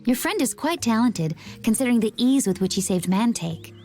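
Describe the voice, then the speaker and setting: A woman playing a composed, observant female companion in a video game, with measured, formal wording. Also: fairly high pitch, lively intonation, warm tone, soft background music. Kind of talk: video game cutscene voice acting.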